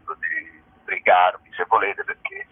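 A man's voice: fairly low pitch, huge pitch swings, moderate pace, slightly rough. A man chats casually about his stage work as a comedy writer. His delivery is hesitant and meandering, with a dry wit. The voice comes through a narrow phone line, as in a radio call-in. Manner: hesitant, wry, rambling. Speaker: theatre comedian and writer presenting a show. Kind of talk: casual promotional interview. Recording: narrow-band telephone line, radio interview.

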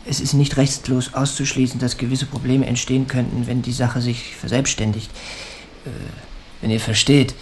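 An actor playing a nervous computer scientist with a hesitant, understated manner in a radio drama. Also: male voice, medium pitch, little pitch movement, normal speed, noisy background, natural voice. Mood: uneasy.

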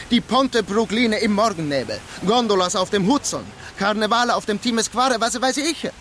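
A man's voice: high-pitched, fairly bright tone, slight background noise. An actor playing a struggling artist who tells his own crime story in a radio drama. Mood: excited, pleading.

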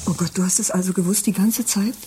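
A woman's voice: mid-range voice, fairly even intonation, fast, mellow, faint music behind, lightly acted. An actress playing a guilty, pleading unfaithful woman in a German radio drama, emotional and apologetic. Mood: distressed, guilty.

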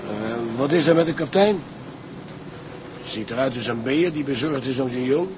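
A man's voice: mid-range voice, animated, normal speed, background noise, close to an everyday voice. An actor playing a dry, good-natured seaman in a radio drama, wry in manner. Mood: concerned, wry. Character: dry, good-natured male seaman, weary of life at sea.

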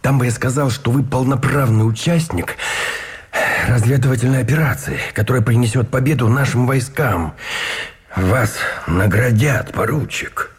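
An actor playing a cold, calculating imprisoned army colonel in a Russian radio drama, measured, formal and conspiratorial, with a husky voice. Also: male voice, medium pitch. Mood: insistent, calculating. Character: cold, calculating army colonel, a prisoner plotting murder for duty. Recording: studio radio play with faint music behind.

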